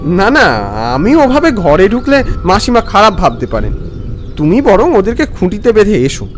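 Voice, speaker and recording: Man playing an animated, high-pitched earnest storyteller. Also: normal speed, a little hiss or hum. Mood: hesitant, puzzled. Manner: expressive, dramatic, earnest. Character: polite, hesitant townsman recounting a strange afternoon. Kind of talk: scripted story narration with acted dialogue.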